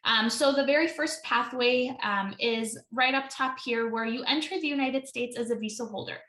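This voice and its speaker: A woman's voice: on the high side, normal speed, fairly bright tone, echoey room. A woman in her thirties, an immigration attorney, presenting a webinar in a chatty way with many ums and self-corrections.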